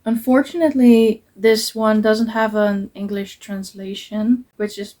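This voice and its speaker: A woman's voice: mid-range voice, flat delivery, unhurried, warm tone. A young woman whose first language is Dutch, talking through her book picks in an earnest, hesitant way with many ums. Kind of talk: casual book review vlog.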